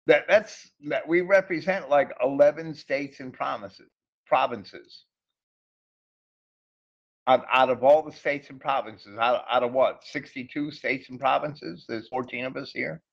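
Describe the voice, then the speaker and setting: A man's voice: mid-range voice, a little slow, gravelly. A middle-aged man with a New England accent talks in a loose, rambling way. He repeats his words often. He comes through a muffled, lo-fi voice chat line. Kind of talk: casual group chat.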